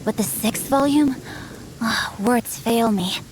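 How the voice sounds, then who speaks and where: A woman's voice: on the high side, slow pace, rough recording. A woman playing a bookish, refined, boyish young nobleman in a video game, speaking in a formal, theatrical manner. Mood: rapturous. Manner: eloquent, formal, dramatic. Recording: game audio with noise behind.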